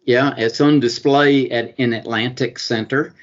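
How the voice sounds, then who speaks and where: A man's voice: mid-range voice, fairly even intonation, measured pace. A middle-aged man with a Georgia Southern accent, answering interview questions as a consul and attorney, with many fillers and restarts. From US state of Georgia. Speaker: consul and business attorney, guest. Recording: dull lo-fi sound.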